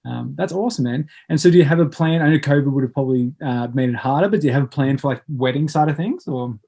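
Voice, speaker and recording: A man hosting a casual interview, encouraging the guest with relaxed, informal replies. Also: mid-range voice, fast talker, creaky, slightly rough. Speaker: podcast host.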